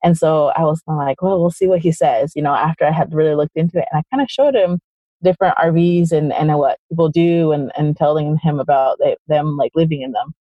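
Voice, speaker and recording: A woman's voice: deep voice, brisk, dry recording. Woman, interview guest, rambling, casual fillers, dull dry recording. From Chile, raised in the United States. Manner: rambling, lots of "kinda" and "like", quotes her own thoughts.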